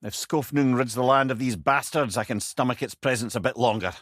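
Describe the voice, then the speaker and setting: A man's voice: mid-range voice, brisk, a bit bright, no echo, clean studio sound, close to an everyday voice. An actor playing a witty, learned old male sage in a video game, with a Scottish accent and a wry, eloquent way of talking. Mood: regretful. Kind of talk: video game character dialogue.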